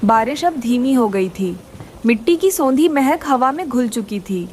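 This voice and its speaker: A woman's voice: fairly high pitch, expressive, a little slow, darkish tone, slight background noise. A woman reading scripted moral-story narration for a children's cartoon.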